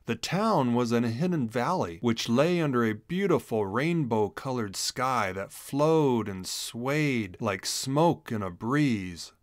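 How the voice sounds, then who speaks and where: A man narrating a children's storybook in an expressive read-aloud manner. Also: mid-range voice, slow, few pauses, dry recording, studio quality.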